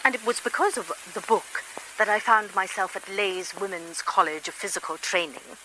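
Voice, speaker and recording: A woman's voice: medium pitch, normal speed, sharp tone, slight background noise, lightly acted, poor audio. Wry, confiding British woman played by an actress. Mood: wry, candid. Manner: chatty, dryly humorous, confiding. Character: wry, self-deprecating lady psychologist, former French teacher. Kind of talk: radio drama first-person narration.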